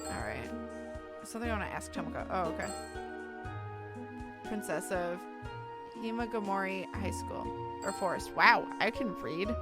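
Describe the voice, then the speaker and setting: A woman game streamer with an expressive, irreverent manner, giving casual let's-play commentary and reading game text aloud. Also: medium pitch, normal speed, pauses often. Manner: expressive, irreverent, reacts bluntly. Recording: game music behind voice. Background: game music.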